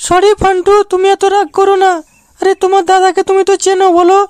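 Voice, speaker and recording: A woman's voice: very high-pitched, monotone, fast talker, darkish tone, dry recording, studio quality. A woman voicing a coy, sweet young married woman in a comic cartoon, with a pleading manner. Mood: apologetic. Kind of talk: comic amateur cartoon dialogue.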